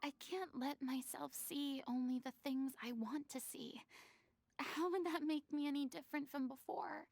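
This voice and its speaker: A woman voicing a melancholy young former goddess in a video game, baring her lonely true self with soft, theatrical, confessional phrasing. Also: sits high, fairly even intonation, moderate pace, creaky, no echo. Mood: uneasy, apologetic. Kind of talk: dramatic video game voice acting. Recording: music playing behind the voice.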